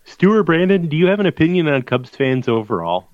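A man co-hosting a podcast, throwing in quick jokes during sports chat. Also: a little high, sing-song, no room sound. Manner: joking, quick quips. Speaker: podcast co-host. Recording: dull, dry lo-fi sound.

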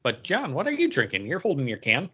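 A man's voice: on the high side, huge pitch swings, quick pace, bright, forceful tone, husky, muffled recording. A man in casual conversation as a podcast co-host. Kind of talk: casual chat.

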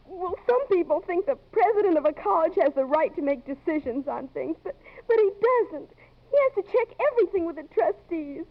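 An actress playing an earnest, distressed young college woman in a 1950s radio drama, speaking haltingly and emotionally. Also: very high-pitched, expressive. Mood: anguished. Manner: halting, emotional, repeating words. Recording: muffled, tinny old radio broadcast.

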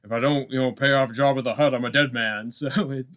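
A man co-hosting a podcast in a casual film discussion, talking haltingly with repeated words and lots of filler phrases. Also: mid-range voice, fairly even intonation, fairly bright tone. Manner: halting, repetitive, peppered with "like" and "you know". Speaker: podcast co-host.

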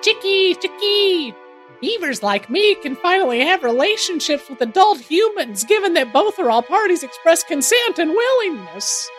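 A man voicing a chirpy, squeaky, exclaiming beaver in a comedy audio drama. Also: big pitch swings, normal speed, fairly bright tone, faint music behind, exaggerated voice. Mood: eager.

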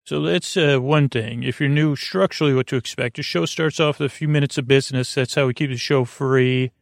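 A man with a mid-pitched, gravelly, creaking voice, recorded close and dry. He hosts a bedtime podcast in a rambling monologue. His sentences wander, stop and restart as he interrupts himself with asides. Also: dry recording. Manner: meandering, halting, self-interrupting asides. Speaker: podcast host.

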